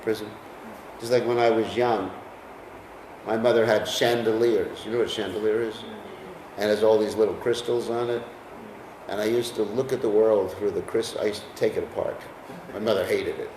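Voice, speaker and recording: A man's voice: fairly low pitch, fairly even intonation, moderate pace, a little mellow, far from the mic. An American man leads a scripture class in an easy, conversational way, often stopping to quiz his listeners. He sounds set back from the microphone in a roomy, echoing space. A faint hum of ambience sits behind him. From United States. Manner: conversational, asks the class questions. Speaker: lecturer on scripture.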